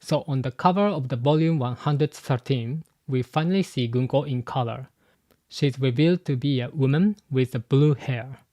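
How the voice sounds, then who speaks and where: A man hosts his channel, walking through his points in a methodical, scripted way. His voice sits at an ordinary middle pitch. The sound is close and dry, with no room echo. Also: normal speed, warm tone, dull audio. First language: Japanese. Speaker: YouTube host and translator. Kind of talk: scripted commentary.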